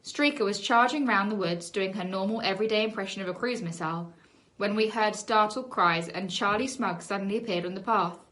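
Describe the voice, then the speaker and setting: A woman teacher reading a children's book aloud in an animated storybook style, leaning on key words with dramatic emphasis. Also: medium pitch.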